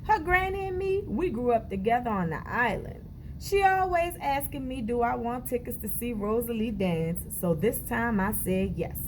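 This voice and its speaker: A woman's voice: a little high, lively intonation, normal speed, a little hiss or hum, pitched above the performer's own voice, lightly acted, low-quality recording. A woman voicing a proud, encouraging elderly grandmother from the Caribbean in a storybook read-aloud. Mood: fond, proud.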